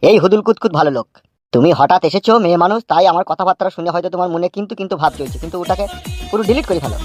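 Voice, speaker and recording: Fast-talking village drunkard played by a man. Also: sits high, a little mellow, no echo. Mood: reassuring, joking. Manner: coarse rural slang, wheedling reassurance. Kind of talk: comic cartoon dialogue. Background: music.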